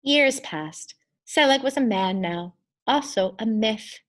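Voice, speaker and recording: A woman reading a children's story aloud in an expressive, deliberate and emphatic storytelling style. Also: on the high side. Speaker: storybook reader.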